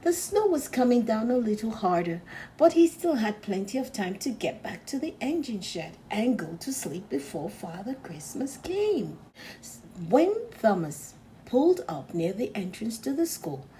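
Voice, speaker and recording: A woman narrating a children's storybook aloud in a gentle, deliberate, expressive storytelling manner. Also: a little high, slight background noise, rough recording. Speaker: storybook reader.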